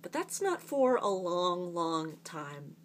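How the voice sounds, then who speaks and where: A woman performing slam poetry in an earnest, emphatic, rhythmic delivery with deliberate pauses. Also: fairly low pitch, big pitch swings, slight background noise. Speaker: slam poet.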